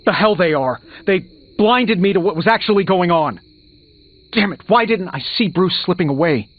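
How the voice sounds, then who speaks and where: An actor playing a proud, guilt-ridden genius superhero in a video game, sarcastic, emphatic and hard on himself. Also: male voice, sits high, lively intonation, normal speed. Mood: bitter self-blame. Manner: sarcastic, self-reproaching, emphatic. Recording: muffled game audio under music.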